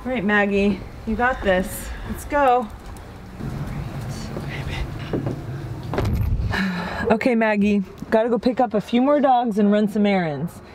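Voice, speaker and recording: A woman's voice: mid-range voice, normal speed, noisy background, natural voice. A woman playing an eager, easily flustered dog walker who chatters and exclaims in a naturalistic comedy-drama style. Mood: nervous, eager.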